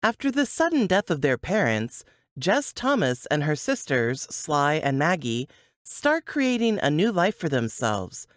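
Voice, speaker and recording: A woman's voice: low voice, very animated, measured pace, no echo. A woman reading a scripted book blurb as a dramatic narration.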